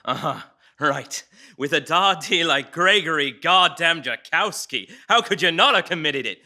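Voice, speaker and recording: Impatient, badgering male detective read by a man, sharp tone, a little higher-pitched, audio drama table read. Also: animated, a little slow, full character voice. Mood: aggressive. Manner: sarcastic, swearing, badgering. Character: aggressive, impatient, foul-mouthed male police detective.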